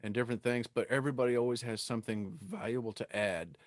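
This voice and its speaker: A man's voice: medium pitch, fast, dry recording, studio quality. A middle-aged man hosting a podcast, talking casually as he interviews a guest. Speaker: podcast host.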